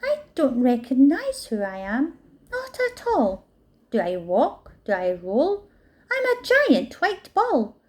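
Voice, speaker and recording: A woman voicing a confused little fluffy baby elephant, talking in a high squeaky sing-song. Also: wildly swooping pitch, slow, exaggerated voice. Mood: puzzled.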